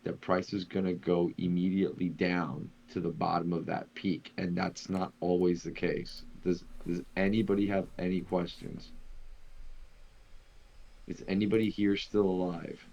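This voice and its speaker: Man, very low gravelly voice, flat delivery, casual live stream co-host. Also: moderate pace, muffled recording. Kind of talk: casual live trading lesson.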